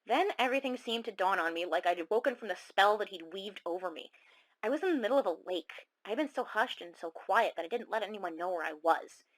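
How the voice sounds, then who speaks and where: Casting: actress as haunted female caller, radio horror drama, mid pitch, confiding and dramatic. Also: lively intonation, brisk, lightly acted, low-quality recording. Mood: uneasy. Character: shaken young woman caller recounting a monster encounter. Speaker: caller telling her story. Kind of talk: first-person horror storytelling, radio show audio drama.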